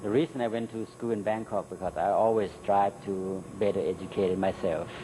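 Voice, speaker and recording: A middle-aged man with a Thai accent, Thai his first language, answering interview questions in halting English with many fillers. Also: on the low side, fairly even intonation, background noise. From Thailand. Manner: halting, many "uh" and "you know" fillers, laughs between answers. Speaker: interview guest. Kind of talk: recorded interview.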